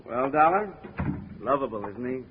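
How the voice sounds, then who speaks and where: A man's voice: mid-range voice, lively intonation, moderate pace, lightly acted. Offhand police lieutenant played by a man, muffled. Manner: offhand, slangy. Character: casual, world-weary male police lieutenant. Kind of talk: 1950s radio drama acting. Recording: muffled, faintly noisy vintage radio recording.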